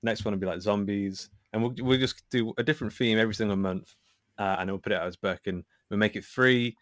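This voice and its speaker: A man's voice: lowish voice, steady tone, fast, fairly bright tone, dry recording, studio quality. A man speaking as a podcast guest, casually recounting his creative work in a self-deprecating way. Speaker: podcast guest, author and filmmaker.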